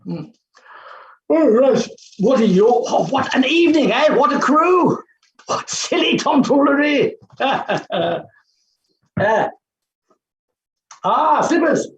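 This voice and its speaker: Guy doing bossy scornful professor, highish voice, blustery and muttery, echoey room w bit of hiss, play reading. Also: big pitch swings, slow, long pauses, dull audio, full character voice. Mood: distracted, exasperated. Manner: blustering, exclamatory, muttering asides. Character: overbearing, impatient male phonetics professor, bored and scornful. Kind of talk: theatrical staged play reading. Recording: roomy echo with faint hiss.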